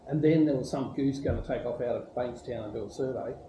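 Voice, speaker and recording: A man's voice: mid-range voice, quick pace. A man, a visiting pilot casually recounting what he was shown. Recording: echoey noisy room.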